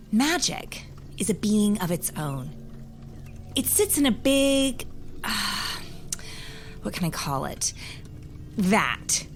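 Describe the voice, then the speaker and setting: A woman playing a wise, hospitable and grave keeper of magical lore, telling things deliberately with old-fashioned phrasing in an audio drama. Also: mid-range voice, slight background noise. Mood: explaining.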